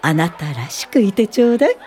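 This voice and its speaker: An actress playing a kindly, dignified elderly woman professor in a radio drama, speaking in a gentle, measured, instructive way. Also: medium pitch, very animated, slight background noise, close to an everyday voice. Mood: gentle, reflective. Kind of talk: Japanese radio drama acting and narration.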